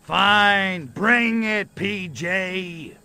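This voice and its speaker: Cocky video game alien: gravelly and forceful, slow wisecracking drawl, sarcastic, quick to threaten. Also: male voice, high voice, faint music behind, exaggerated voice. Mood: impatient. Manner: brash, wisecracking, slangy. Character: cocky, sarcastic, short-tempered little alien invader. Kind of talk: video game cutscene acting.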